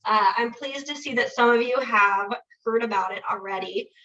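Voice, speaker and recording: A woman with a nasal voice from a lingering cold presents on a webinar in a casual, friendly way. Her tone is bright, but the sound comes from a distance and is dulled by a video call connection. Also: a little high, roomy sound, muffled recording. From Illinois. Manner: casual, friendly, quick asides. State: getting over a cold. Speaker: conservation director. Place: home with rural internet. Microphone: computer mic on a video call.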